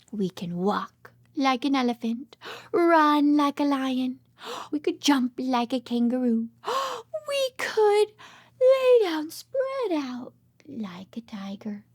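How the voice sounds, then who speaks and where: A woman reading aloud to babies and toddlers in an exaggerated child-directed way, with simple repeated phrases and questions. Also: sits high. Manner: exaggerated child-directed delivery with dramatic gasps and whispers. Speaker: children's read-aloud host.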